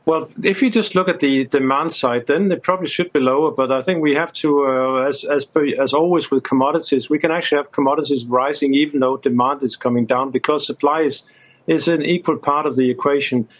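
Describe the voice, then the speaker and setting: A man giving analysis as a guest in a live interview, halting and repeating words as he goes. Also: fairly high pitch.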